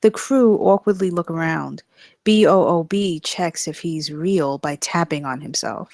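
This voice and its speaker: A woman's voice: deep voice, unhurried, darkish tone, no echo, clean studio sound. A woman narrating the stage directions of a comedy screenplay at a table read.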